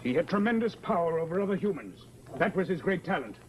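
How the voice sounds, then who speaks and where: A sinister, grandiose mesmerist with pompous, formal diction from old radio horror. His slightly high voice swings widely in pitch over a faintly noisy recording. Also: very animated, fast. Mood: menacing. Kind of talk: old-time radio horror drama acting.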